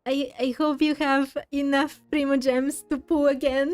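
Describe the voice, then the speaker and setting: A woman live-streaming a game, chatting casually and gently with her viewers in a slightly halting, apologetic way. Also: sits high, little pitch movement, faint music behind.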